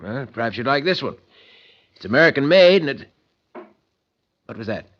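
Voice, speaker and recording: Polite store salesman in old time radio, regular pitch, dull lo-fi recording. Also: big pitch swings, normal speed, fairly bright tone. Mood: startled. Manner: polite, helpful salesman patter.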